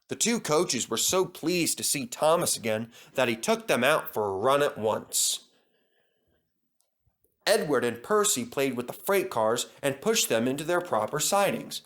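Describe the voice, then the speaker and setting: A man narrates a children's story with a bright, forceful tone. His reading is expressive and dramatic, swinging widely in pitch.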